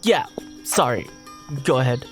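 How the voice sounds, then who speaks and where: An actor playing a curious, skeptical brother in an audio drama, speaking in casual, short prompts. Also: male voice, mid-range voice, animated, a little slow, over music, lightly acted.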